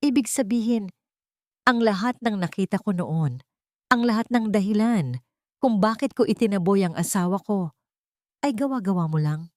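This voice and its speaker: A woman's voice: medium pitch, expressive, normal speed, long pauses, darkish tone, no room sound, studio quality, natural voice. A woman with a Filipino accent voicing a bitter, wronged son in an accusing, dramatic story reading. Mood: anguished, accusing. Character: bitter, wronged adult son confronting his mother.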